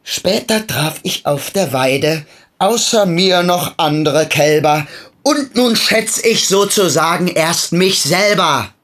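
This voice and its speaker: A man's voice: fairly high pitch, animated, takes it slowly, a bit bright. An actor playing a self-ironic, indebted young man in a German radio play, wry and nonchalant.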